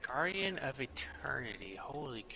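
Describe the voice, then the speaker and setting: A man's voice: medium pitch, big pitch swings, normal speed, gravelly, a loud crowd. A man chatting casually as a co-commentator during a video game stream. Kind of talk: casual chat during gameplay.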